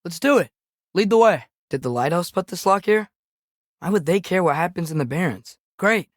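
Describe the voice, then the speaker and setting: A man's voice: sits high, expressive, moderate pace, dry recording, clean studio sound. A young male actor voicing an earnest, compassionate teenage boy adventurer in a video game, with an American accent and a questioning, casual way of talking. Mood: sincere, questioning. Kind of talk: naturalistic video game character acting.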